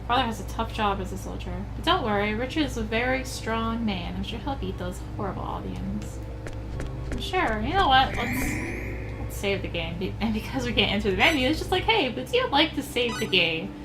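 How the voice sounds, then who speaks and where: A woman hosts a let's play in a slightly high voice, chatty and wry. She comments casually and reads dialogue aloud, with game music clearly audible behind her. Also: expressive, fairly quick, rough recording.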